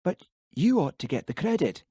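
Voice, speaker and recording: An eager young woman with a lifted, excitable voice, as a male audiobook reader puts her on. She speaks brightly and high above a low male register. The sound is close and dry. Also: moderate pace, breathy edge, dry recording. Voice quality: raised, excitable delivery. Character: high-pitched Highland woman, eager and indignant. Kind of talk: audiobook character voice.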